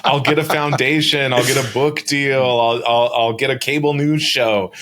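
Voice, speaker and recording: American man explaining news, mid-pitched voice. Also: steady tone, normal speed, a bit bright. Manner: informal, explanatory. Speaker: reporter on panel. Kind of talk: casual explainer chat.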